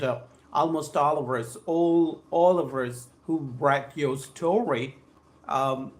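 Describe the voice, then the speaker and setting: A man with a South Indian accent teaching English on a live stream, reading aloud and addressing viewers in an effusive, formal way, repeating his phrases. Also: medium pitch. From Andhra Pradesh, India. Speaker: spoken English trainer hosting a live stream.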